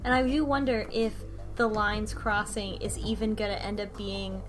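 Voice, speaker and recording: A woman on a podcast panel in casual analytical discussion, working through ideas in a halting, repeating way. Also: medium pitch, flat delivery. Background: background music.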